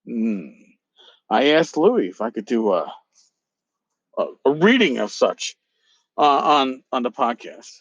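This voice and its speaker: A man hosting a podcast, talking casually and reading aloud in an emphatic, theatrical, sometimes halting way, on a slightly lo-fi recording. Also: medium pitch, sing-song, fairly bright tone, breathy edge, dry recording. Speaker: podcast host. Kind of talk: casual talk with dramatic reading of emails aloud.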